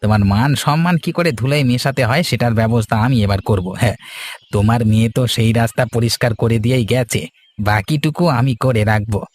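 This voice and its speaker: A man's voice: mid-range voice, a little fast, darkish tone, close to an everyday voice. A man playing a scheming, outwardly obsequious servant of a village master in a cartoon. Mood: scheming, vengeful. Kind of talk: cartoon voice acting.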